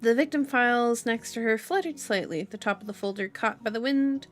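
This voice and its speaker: A woman's voice: on the high side, a little fast. A woman reading a novel aloud in an ASMR style with chatty, playful asides, as the host of her channel. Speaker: book reader, channel host. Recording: music behind the voice. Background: music.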